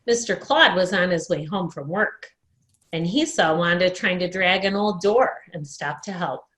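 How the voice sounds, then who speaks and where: A woman telling a children's story in an expressive read-aloud style, recorded at home in a dining room. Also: fairly low pitch, moderate pace. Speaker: storyteller at a worship service.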